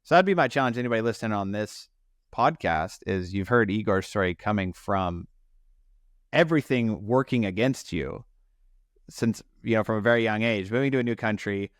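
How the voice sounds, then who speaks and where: A man's voice: lowish voice, lively intonation, a little fast, no room sound, studio quality. A man hosting a podcast, talking in an emphatic motivational style and repeating phrases to drive points home. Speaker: podcast host.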